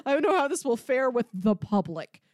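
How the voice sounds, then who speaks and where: A woman's voice: high voice, sing-song, brisk, a little mellow, no room sound, clean studio sound. A woman co-hosting a podcast in casual, enthusiastic conversation. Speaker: podcast co-host. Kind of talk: casual chat.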